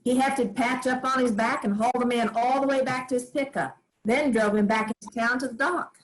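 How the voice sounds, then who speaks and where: A woman's voice: a little high, fairly even intonation, fairly quick, natural voice, rough recording. A woman playing a folksy old grandmother who tells family stories in a drawling, homespun way. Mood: fond. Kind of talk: staged play reading.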